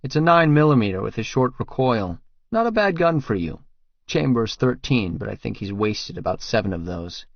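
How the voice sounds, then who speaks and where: A man's voice: medium pitch, big pitch swings, normal speed, vocal fry, no echo, dull audio. A man voicing a tough, sardonic fighter who questions a captive in an audiobook, wry and commanding. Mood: brisk, wry. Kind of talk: audiobook character dialogue.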